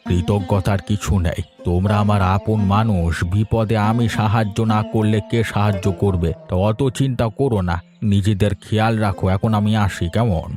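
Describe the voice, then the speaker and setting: A man's voice: fairly low pitch, fairly even intonation, moderate pace, a little mellow, close to an everyday voice. A man voicing a kindly elderly village landlord in a cartoon story. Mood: kindly, concerned. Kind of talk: cartoon voice acting. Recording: music behind the voice.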